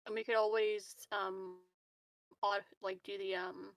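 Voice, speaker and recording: A woman's voice: medium pitch, flat delivery, unhurried, dry recording. A woman chatting casually as a player in a tabletop roleplaying game.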